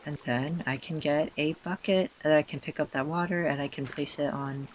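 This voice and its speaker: A man with a hoarse, tired voice, self-consciously rambling through casual gameplay commentary with many fillers and restarts. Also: a little high, fairly even intonation, normal speed, a little hiss or hum. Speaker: let's player.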